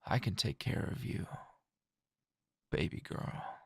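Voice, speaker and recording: A man playing a weary, flirtatious male teacher in an intimate ASMR roleplay, with a languid, dry drawl full of sighs and pauses. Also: darkish tone, no room sound, natural voice. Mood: teasing, soothing.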